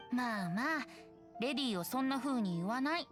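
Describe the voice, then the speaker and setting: A man's voice: very high-pitched, animated, quick pace. A man voicing a youthful, carefree bard in an anime-style video game, with an airy, playful and coy manner. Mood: light teasing. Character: youthful boyish bard, carefree, teasing and gentle. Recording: game audio with music underneath.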